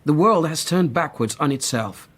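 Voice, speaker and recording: An actor playing an earnest, idealistic outsider in a radio drama, indignant and halting when upset. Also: male voice, fairly high pitch, expressive, fairly bright tone, slightly rough. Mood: troubled, earnest. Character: anguished, idealistic male outsider, a so-called savage.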